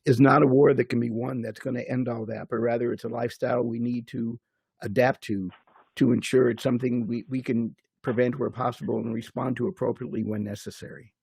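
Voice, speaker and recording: Gravelly, dark-voiced older man. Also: medium pitch, level tone, a little fast, dry recording. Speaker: chief security officer, panelist. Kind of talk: panel discussion.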